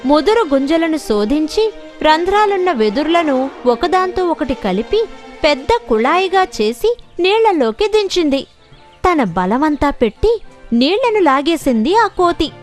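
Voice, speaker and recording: A woman reads a scripted tale for children as narrator. Her voice is high and swings widely in pitch. Music plays behind her. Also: big pitch swings, unhurried.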